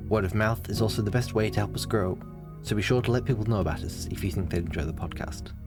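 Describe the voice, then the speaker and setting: A man's voice: on the low side, fast. A man reading scripted end credits as a podcast announcer. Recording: music behind the voice. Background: music.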